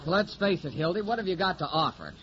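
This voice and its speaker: High-pitched, brusque American showbiz agent. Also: male voice, big pitch swings, a little fast. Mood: cynical, blunt. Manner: blunt, slangy, exasperated. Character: brusque, wisecracking, worldly male theatrical talent agent. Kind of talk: 1940s radio drama acting. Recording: muffled noisy old radio broadcast.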